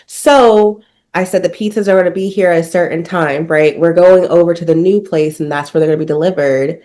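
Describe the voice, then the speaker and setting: A woman on a podcast telling a story in a sassy, animated way. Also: mid-range voice. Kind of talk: casual chat.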